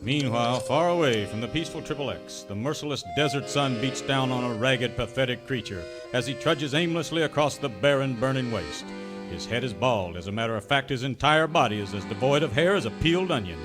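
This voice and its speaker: A man's voice: mid-range voice, lively intonation, fairly quick, natural voice. A man playing a grandiose announcer, narrating an old-time cowboy radio serial parody with mock melodrama. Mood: ominous, wry. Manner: grandiose, dramatic. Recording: music behind the narration.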